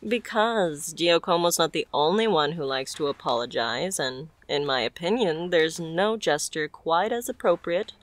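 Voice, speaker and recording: Woman doing a flirty mafia boss lady for asmr roleplay, low voice, takes her time, teasing and smooth. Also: lively intonation. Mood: flirtatious, charming. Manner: teasing, smooth-talking, unhurried.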